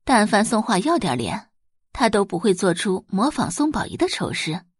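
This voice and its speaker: A woman narrating a Chinese web-novel audio drama in an expressive storytelling manner. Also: medium pitch, normal speed, breathy edge, no room sound, studio quality.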